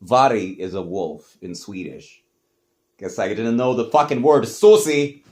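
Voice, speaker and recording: A man's voice: lowish voice, measured pace. A man, talking casually and swearing freely as he mocks himself. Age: adult. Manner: animated, profane, self-mocking. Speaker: host. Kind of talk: casual commentary reacting to old tapes.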